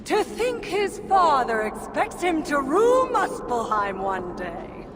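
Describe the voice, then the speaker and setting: A slow, haughty villainess, high-voiced. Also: female voice, very animated, exaggerated voice. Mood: gleeful contempt. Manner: drawn-out taunting. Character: haughty, menacing villainous stepmother, mocking and sly. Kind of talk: video game cutscene acting. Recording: long echoey reverb, clear background noise.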